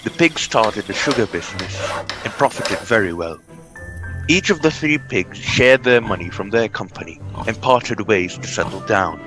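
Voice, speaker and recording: A man's voice: lowish voice, expressive, moderate pace. A man narrating a storybook tale from a script. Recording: music under the voice.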